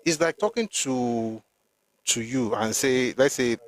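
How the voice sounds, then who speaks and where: A man's voice: mid-range voice, takes it slowly. A middle-aged man with a Nigerian accent, hosting an emphatic, argumentative opinion monologue with frequent restarts. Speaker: host.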